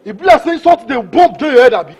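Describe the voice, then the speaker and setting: A man's voice: very high-pitched, lively intonation, quick pace, darkish tone, a little hiss or hum. A man with a Nigerian Pidgin accent voicing a grumbling gateman who scolds and complains in exasperation. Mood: scolding.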